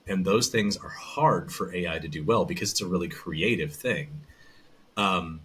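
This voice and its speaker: Deep-voiced man, rambling and bright. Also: moderate pace, slight background noise. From American South. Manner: rambling, loose storytelling with many restarts. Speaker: voice actor and audiobook narrator, guest. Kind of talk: casual interview chat.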